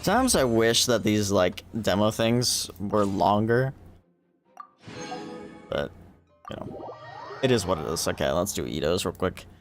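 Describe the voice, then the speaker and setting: A man's voice: fairly low pitch, big pitch swings, measured pace, long pauses. A man livestreaming a game, chatting casually and swearing as he talks to himself and the game. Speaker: gaming livestreamer. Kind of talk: casual livestream chat while playing. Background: video game music.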